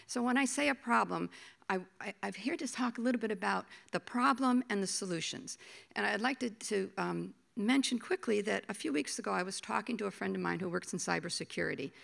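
Hoarse woman speaking at a podium. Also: mid-range voice, fairly bright tone. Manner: halting, repeats words while thinking. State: hoarse from a cold. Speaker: panel speaker, agency official. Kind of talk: conference presentation. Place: conference hall stage, at a podium. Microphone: podium microphone.

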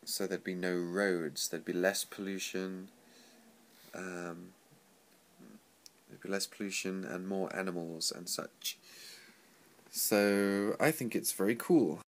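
Stuffy-nosed young man, very deep and slow. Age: young adult. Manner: relaxed, rambling, frequent ums. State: stuffy nose, low voice from a cold. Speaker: English teacher vlogger. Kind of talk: casual video diary. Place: small room with sliding paper screens, indoors. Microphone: laptop webcam close up.

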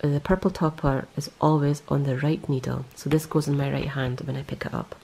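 Woman, low dark gravelly voice, rambling self-correcting show-and-tell. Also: level tone, fairly quick, low-quality recording. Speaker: knitting vlogger. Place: indoors, close-up on hands and yarn.

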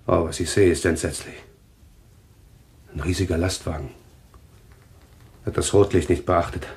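Male actor as enigmatic doom prophet, fairly deep voice, deliberate, composed, radio play. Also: steady tone, gravelly. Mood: horrified trance. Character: calm, eerily taunting man claiming visions of deaths. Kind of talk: German radio thriller acting.

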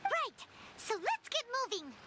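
A woman voicing a small, excitable, curious fairy companion in a video game, squeaky and childlike. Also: unhurried, heavy background noise, heavily acted. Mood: excited, curious. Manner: squeaky, exclaiming, inquisitive.

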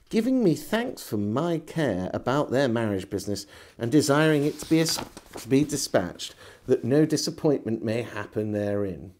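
English storyteller, a man with lively intonation. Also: mid-range voice. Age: middle-aged. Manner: measured, old-fashioned storytelling delivery. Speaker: storyteller reading a historical diary aloud. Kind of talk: expressive literary narration. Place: small indoor room lined with shelves.

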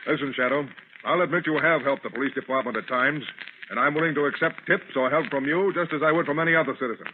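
A harried police commissioner played by a man, talking fast and bluntly in a raised pitch. It is old radio drama, heard through a tinny, narrow recording. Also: slight background noise, close to an everyday voice. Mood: gruffly wary. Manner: blunt, impatient. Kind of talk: 1930s radio acting.

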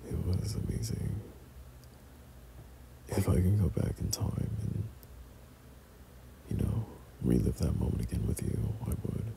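A young man speaks as a tender boyfriend in an intimate ASMR roleplay, with a low voice full of heavy vocal fry. He is breathy and hesitant, often sinking into a whisper, and takes his time at a slow pace. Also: very animated, slight background noise. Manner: tender, hesitant, softly chuckling. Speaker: boyfriend ASMR audio performer.

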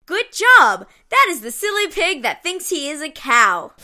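A woman reads a children's read-along book in a high, very lively voice. She takes her time over short, simple phrases. Her delivery is encouraging and exclamatory, made for young listeners. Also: measured pace, background noise. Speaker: storybook narrator.

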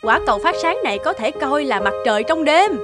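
A woman voicing a delighted, excitable village woman in an animated fairy tale, gushing and exclamatory. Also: sits high, fairly quick, loud music behind the voice.

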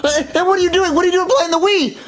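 A man's voice: very high-pitched, steady tone, fast. A young man voicing a bewildered plush hero in a comic puppet skit at home, exclaiming in confusion. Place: suburban house indoors.

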